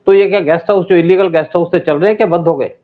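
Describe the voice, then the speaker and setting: A man's voice: high-pitched, racing. A man hosting an informal political interview, conversational, dropping English words into his questions and remarks. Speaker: interview host. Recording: narrow, phone-like call audio.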